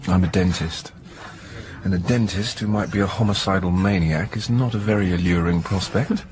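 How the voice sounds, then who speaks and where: An actor playing a genial, bashful young English dentist with an upper-middle-class accent and polite, hesitant manner in a radio drama. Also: male voice, low-pitched, moderate pace, a little mellow, slight background noise, natural voice. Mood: bashful, fond.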